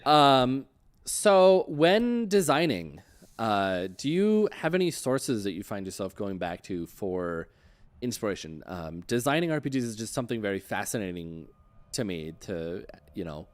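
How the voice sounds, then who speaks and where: A man with a fairly low voice hosts a casual livestream interview. He speaks informally and loosely, wandering a little through his thoughts as he goes. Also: very animated, unhurried. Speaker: interview host.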